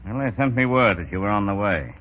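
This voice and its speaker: An actor playing a calm, good-humored police commissioner, easygoing and husky, in a 1930s radio drama. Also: male voice, lowish voice, fast talker, close to an everyday voice. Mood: wry, friendly. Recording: muffled 1930s radio recording.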